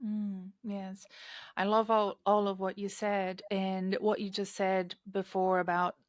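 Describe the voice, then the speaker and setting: A woman's voice: medium pitch, level tone, slow pace, dry recording, studio quality. A woman hosting a job-hunting podcast and coaching clients, asking questions in a thoughtful way with hesitant restarts. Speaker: podcast host and career coach. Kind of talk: casual interview talk.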